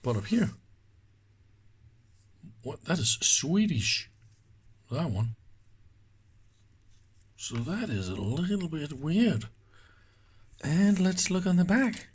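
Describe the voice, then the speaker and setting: Slow-talking man, higher-pitched voice, thinking aloud. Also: lively intonation, slightly rough. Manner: informal, thinking aloud with exclamations. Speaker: host of electronics teardown videos. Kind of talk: casual hands-on commentary. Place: indoor workbench.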